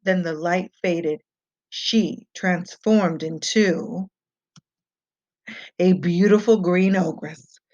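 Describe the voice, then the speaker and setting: A woman reading a children's fairy tale aloud as an expressive storyteller. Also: fairly low pitch, extremely slow, pauses often. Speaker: storybook reader. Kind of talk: scripted children's read-aloud narration. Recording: muffled, dull lo-fi home recording.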